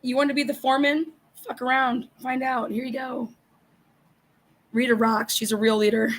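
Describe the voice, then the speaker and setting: Hoarse, blunt woman ranting on a livestream. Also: high voice, level tone, moderate pace, low-quality recording. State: hoarse, voice gone raspy. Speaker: livestream host. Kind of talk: casual livestream chat reading viewer comments.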